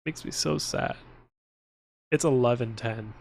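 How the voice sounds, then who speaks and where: A man's voice: medium pitch, measured pace, vocal fry. A man live streaming, chatting casually to his viewers in an informal, rambling way with exasperated asides.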